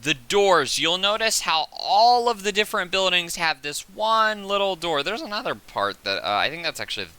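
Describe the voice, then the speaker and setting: A man giving casual, unscripted commentary as the host of a game footage breakdown, excitable and often correcting himself. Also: sits high, normal speed, hardly any pauses, smooth.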